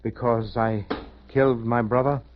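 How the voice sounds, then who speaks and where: Vintage radio drama, dull band, music underneath: male actor as tormented man convinced he has died, guilt-racked, melodramatic, imploring, medium pitch. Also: fairly even intonation, a little slow, noisy background, close to an everyday voice. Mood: anguished. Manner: melodramatic, pleading. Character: anguished guilty man convinced he is a dead soul. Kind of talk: 1950s radio drama acting, narrating and in dialogue. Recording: muffled vintage radio recording, music behind.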